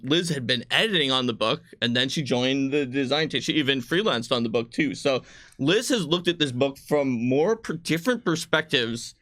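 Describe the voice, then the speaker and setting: A man's voice: medium pitch, bright, forceful tone, dry recording. Man hosting casually, very dry sound. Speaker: host and game designer. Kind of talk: casual livestream interview.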